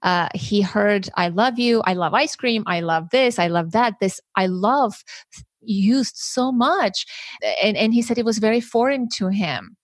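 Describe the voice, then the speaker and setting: A woman hosting an interview, a native Armenian speaker, talking in an engaged, animated way. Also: mid-range voice, unhurried, no echo, clean studio sound. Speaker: podcast host. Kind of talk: conversational interview.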